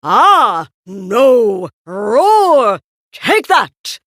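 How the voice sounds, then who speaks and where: A woman's voice: a little high, big pitch swings, drawn-out words, fairly bright tone, dry recording, clean studio sound, pitched above the performer's own voice, heavily acted. A woman voicing an earnest, brave, kindly grown man in a children's storybook, speaking emphatically. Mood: bold, combative.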